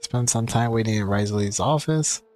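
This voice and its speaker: A woman's voice: a bass voice, moderate pace, darkish tone, faint music behind. A woman game streamer casually reading out dialogue choices and commenting as she plays.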